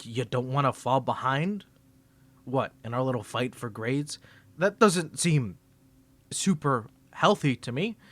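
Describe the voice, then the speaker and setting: A man's voice: mid-range voice, measured pace, natural voice. A man voicing a flustered young tsundere male student rival in an ASMR roleplay, teasing and defensive, stammering when caught off guard. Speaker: ASMR creator.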